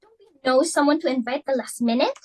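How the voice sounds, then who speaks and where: A woman's voice: sits high, fast talker. A young woman in an amateur dramatic reading, playing a fussy, status-hungry society hostess and mother in a flustered, theatrical way. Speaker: student actor.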